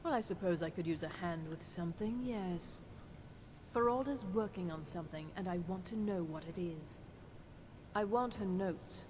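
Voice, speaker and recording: An actress plays a self-admiring female wizard in a fantasy game, indignant and suspicious of rivals. Her delivery is scornful and haughty. The voice rings in a long reverb over a faint rumbling noise. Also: normal speed, darkish tone, close to an everyday voice. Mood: scheming, impatient. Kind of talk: fantasy video game dialogue.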